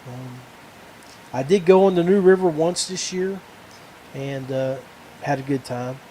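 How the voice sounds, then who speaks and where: A middle-aged male podcast host talks casually on his own, with a slightly high voice. He rambles and halts as he goes, and there is faint ambient noise behind him. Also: warm tone, poor audio. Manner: halting, rambling delivery. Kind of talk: casual solo chat.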